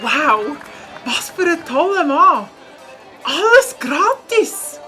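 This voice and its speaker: A woman's voice: sits high, very animated, slow, heavily acted. A woman playing a starry-eyed, gushing village woman in a cartoon. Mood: awed. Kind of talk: cartoon character acting. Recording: music and crowd behind voice.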